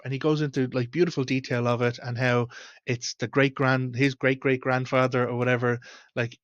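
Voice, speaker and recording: An Irish man in his thirties talks straight into a webcam at home, reflective and candid, often pausing on "um" and "like". His voice sits at an ordinary pitch, and the sound is dry and a little dull, as cheap desk-mic audio often is. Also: a bit bright, no room sound. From Ireland. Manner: candid, reflective storytelling with frequent fillers. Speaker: host. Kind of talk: casual talk to camera. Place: small room at home, indoors.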